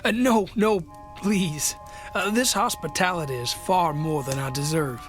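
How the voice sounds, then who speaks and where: An actor playing a weary, polite army corporal in a radio drama, speaking haltingly and with deference. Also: male voice, on the high side, over music, lightly acted. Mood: grateful.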